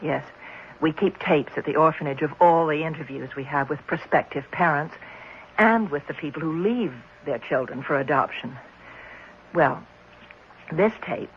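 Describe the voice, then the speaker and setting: A woman's voice: low-pitched, moderate pace. A concerned orphanage worker in a vintage radio play. She speaks politely and a little hesitantly. The recording is muffled, with faint noise behind her. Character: earnest woman orphanage worker, careful and concerned. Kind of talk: 1970s radio drama acting.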